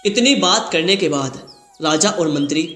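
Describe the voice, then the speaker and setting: A man's voice: fairly high pitch, lively intonation, moderate pace, bright, forceful tone, reverberant, soft background music. A man narrating a scripted story as a storyteller.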